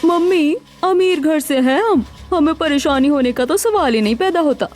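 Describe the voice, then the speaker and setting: Casting: actress as proud well-off young wife, high chirpy voice, self-assured, cartoon dialogue. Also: female voice, normal speed, darkish tone, close to an everyday voice. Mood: haughty. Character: proud young married daughter from rich household. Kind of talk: Hindi cartoon story dialogue.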